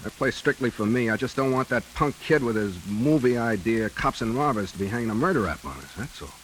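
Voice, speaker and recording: A man's voice: medium pitch, lively intonation, fairly quick, lightly acted, rough recording. A male actor playing a hard-boiled, world-weary bank robber with a decent streak, terse and slangy in 1940s radio noir style. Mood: earnest, brooding. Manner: terse, slangy tough-guy talk. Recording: hissy 1940s radio recording, rain behind.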